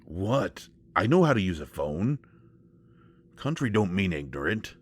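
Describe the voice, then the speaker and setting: A man's voice: low voice, sing-song, unhurried, a little hiss or hum. A man playing a nervous, protective, old-fashioned country man in an intimate roleplay audio drama, speaking in a hesitant, folksy way. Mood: folksy, determined.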